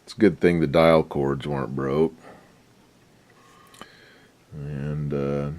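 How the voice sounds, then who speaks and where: A man giving a casual, unscripted workbench demonstration in a laid-back, folksy, halting way, often trailing off. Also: rumbling low, level tone, a little mellow. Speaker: radio restoration hobbyist presenting a repair update.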